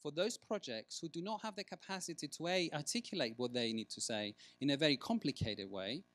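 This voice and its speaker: A man's voice: fairly high pitch, expressive, fairly quick, a bit bright, no room sound. Man presenting, dry sound. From Greece. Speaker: researcher giving a conference talk. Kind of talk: conference presentation with discussion. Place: large conference room, at a lectern.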